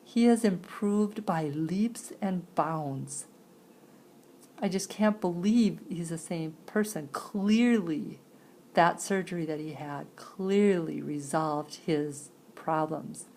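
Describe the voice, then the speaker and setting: A woman telling a personal story conversationally, in halting phrases, with a creaky voice. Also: on the low side, darkish tone, slight background noise. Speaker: sign-to-voice interpreter.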